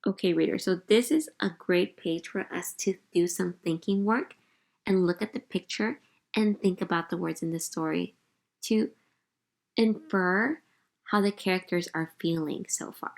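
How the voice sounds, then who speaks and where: A woman's voice: fairly low pitch, a little slow, gravelly, a little mellow. A woman teacher leading an interactive picture-book read-aloud, expressively addressing young listeners and asking them questions.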